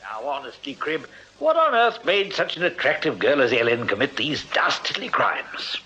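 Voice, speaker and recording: An actor playing a pompous, irascible Victorian army Major with an upper-class British accent in a radio drama, indignant and exclamatory. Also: male voice, mid-range voice, sing-song, moderate pace, sharp tone, slightly rough, heavily acted. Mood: incredulous. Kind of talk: BBC radio period drama acting. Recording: muffled, very noisy radio drama recording.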